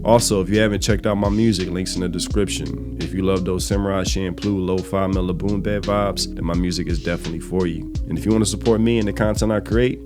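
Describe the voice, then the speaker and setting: A man with a cold, his voice raspy and dry, telling a story in a casual commentary to his channel's viewers. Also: deep voice. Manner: conversational, recounting a dialogue. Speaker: channel host. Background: music.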